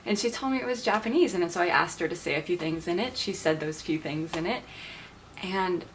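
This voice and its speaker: A woman's voice: on the low side, brisk, slight background noise. Chatty, enthusiastic woman in her twenties. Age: 20s. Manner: chatty and enthusiastic, laughs often. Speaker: vlogger. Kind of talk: casual vlog talk to camera.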